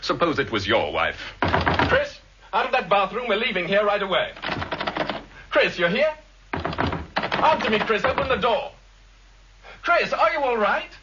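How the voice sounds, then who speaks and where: High-pitched, agitated husband, old radio drama. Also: male voice, wildly swooping pitch, measured pace, pauses often, exaggerated voice. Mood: alarmed. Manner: urgent, accusatory, emotionally heated. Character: agitated husband of a targeted woman, angry and fearful. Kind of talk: vintage radio drama acting. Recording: muffled vintage radio recording, faint hiss.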